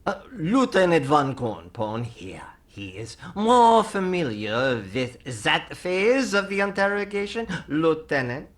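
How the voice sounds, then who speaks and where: A woman's voice: sits low, very animated, slow, hardly any pauses, full character voice. A woman playing a stern, exasperated German military officer in an old-time radio comedy. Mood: commanding. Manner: bossy, commanding.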